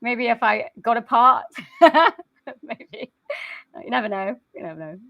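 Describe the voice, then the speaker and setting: A woman's voice: fairly high pitch, unhurried, vocal fry, no echo, dull audio. A woman from South Wales, a singer and voice-over artist chatting and giggling as an interview guest. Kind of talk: casual interview.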